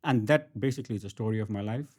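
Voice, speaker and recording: A man's voice: fairly low pitch, very fast, darkish tone, slightly rough. A middle-aged man from India with an Indian accent, telling his story emphatically in a conversational interview and often checking in with the listener. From Bangalore, India. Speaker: interview guest, entrepreneur and author.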